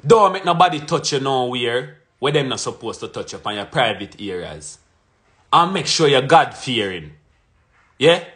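A man's voice: medium pitch, big pitch swings, moderate pace, fairly bright tone. A man in his thirties from Jamaica with a Jamaican accent, delivering an emphatic, confrontational monologue to his phone camera at home. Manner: emphatic and confrontational, punches out lists and repeats phrases. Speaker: vlogger. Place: small indoor room at home. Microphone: phone camera at arm's length.

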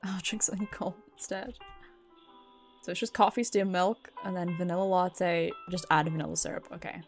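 A woman's voice: on the low side, steady tone, moderate pace, over music. A British woman doing casual, chatty game stream commentary, swearing freely and reacting aloud as she plays.

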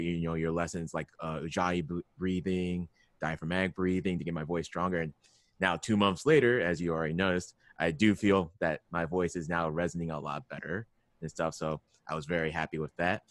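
A man's voice: sits low, fairly even intonation, a little fast, dry recording, clean studio sound. A man in his late twenties chatting casually as he gives a testimonial as a student, on a headset mic. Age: late 20s. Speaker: voice training student. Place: small room at home, indoors.

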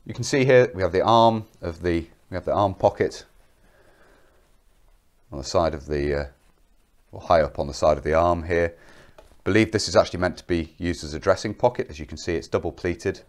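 Very deep-voiced man with a cold. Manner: detailed, methodical, explains while pointing. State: has a cold. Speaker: military history presenter. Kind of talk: informative presentation to camera.

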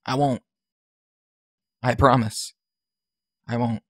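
A man's voice: mid-range voice, fairly even intonation, slow pace, a bit bright, dry recording. A man playing a young, guilty yet defiant kid among criminals in an audio drama, hesitant and earnest.

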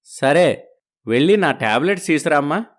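A man voicing a middle-aged father-in-law who teases and probes gently in a storybook. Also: fairly high pitch, lively intonation, moderate pace, natural voice. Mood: wry. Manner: wry, probing, gently teasing. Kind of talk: storybook dialogue.

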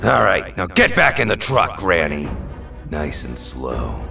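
An actor playing a gruff, hot-tempered clawed brawler with a gravelly voice. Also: male voice, low voice, very animated, takes it slowly, sharp tone, soft background music, heavily acted. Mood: menacing.

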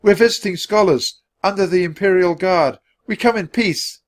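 Guy doing an earnest anxious kid hero, pitched up, audiobook adventure. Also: animated, normal speed. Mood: nervous. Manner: urgent, hesitant when questioned.

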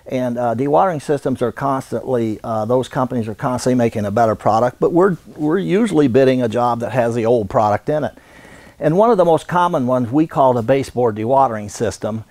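An American man in his sixties giving an unscripted instructional demonstration in a halting, folksy way. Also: medium pitch, animated, darkish tone, no echo. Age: 60s. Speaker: radon supply business owner and instructor. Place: indoor warehouse.

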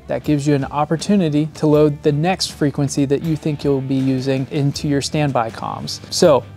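A man in his thirties presents a lesson as an instructor, plain-spoken and explanatory. His voice is mellow and sits a little higher than average. He is miked close indoors, with some music behind him. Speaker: flight instructor. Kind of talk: scripted instructional presentation to camera. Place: indoor studio set, seated. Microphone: lapel mic.

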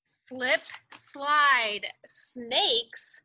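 A woman's voice: high voice, very slow, sharp tone, poor audio. A young woman reads a picture book aloud to small children outdoors, sing-song and carefully enunciated, with emphasis on the rhyming words. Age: young adult. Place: outdoors in a leafy backyard.

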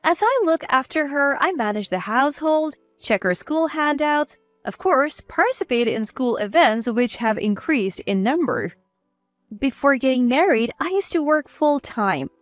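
High-pitched, lively female story narrator. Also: unhurried, no room sound, faint music behind. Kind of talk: scripted first-person story narration.

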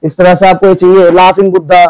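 Young male shopkeeper, quick high-pitched sales patter, dry small shop. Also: level tone, a little mellow, clean tone, no room sound, rough recording. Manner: brisk pitch, lists items and prices. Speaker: wholesale shopkeeper. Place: small crowded gift shop indoors.

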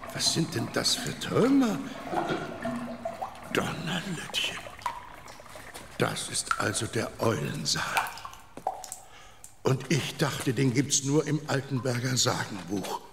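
An older man playing a sceptical, easily astonished grandpa in a children's audio drama, with a creaky voice. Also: medium pitch, very animated, takes it slowly, pauses often, very noisy, exaggerated voice. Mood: bewildered, amazed. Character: grumbly, astonished old grandpa, sceptical but imaginative.

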